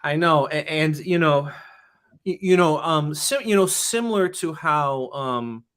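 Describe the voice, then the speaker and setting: A man's voice: on the high side, measured pace, fairly bright tone, clean tone. A man who teaches in public schools, talking earnestly in an interview and often restarting his sentences with "you know". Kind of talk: casual interview talk.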